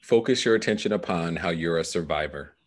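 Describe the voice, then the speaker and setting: A man in his thirties coaching a client over a headset, giving measured guided instructions. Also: low-pitched. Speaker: coach guiding a client through a meditation process. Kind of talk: guided meditation and coaching conversation. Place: small indoor room, video call. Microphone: headset microphone.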